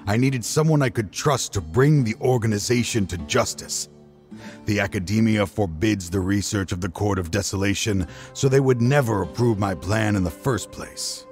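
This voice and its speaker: An actor playing a weary, remorseful older man near death in a video game, speaking in a solemn, measured way. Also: deep voice, darkish tone. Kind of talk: dramatic video game voice acting. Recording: music behind the voice.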